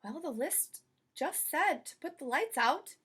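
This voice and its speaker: A woman's voice: high-pitched, expressive, measured pace, fairly bright tone, voice pushed up. A woman voicing a cheerful, literal-minded storybook housemaid who is earnest and eager to please. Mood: earnest, innocent. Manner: earnest, guileless, chirpy. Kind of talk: children's storybook character voice.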